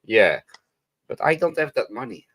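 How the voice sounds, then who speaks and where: A man's voice: mid-range voice, big pitch swings, moderate pace, fairly bright tone, no room sound, studio quality. A man from Belgium streaming live, blunt and argumentative, often repeating himself. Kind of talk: casual live-stream chat.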